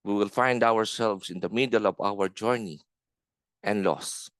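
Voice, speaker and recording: A man speaks slowly and earnestly over a video call, and his lowish voice rises on the words he stresses. His tone is smooth and clear, and the sound is close and dry with no room echo. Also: fairly bright tone. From Philippines. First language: Filipino. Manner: earnest, measured, rising in emphasis on key words. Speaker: club member giving an impromptu speech. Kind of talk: impromptu speech on a video call.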